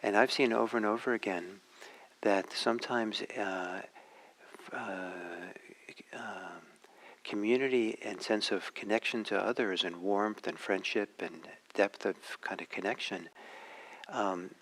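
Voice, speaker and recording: Very low-pitched man, hesitant meditation teacher. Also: a bit bright, slight background noise, poor audio. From Norway. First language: Norwegian. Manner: hesitant, searching for words, pausing often. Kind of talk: reflective dharma talk.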